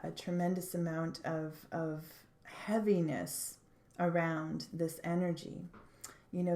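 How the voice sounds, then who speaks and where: A woman giving a tarot reading, sounding stuffed up, speaking thoughtfully with frequent pauses and restarts. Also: low voice, warm tone, echoey room. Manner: thoughtful, hesitant, many fillers and restarts. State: stuffed up, congested. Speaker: tarot reader.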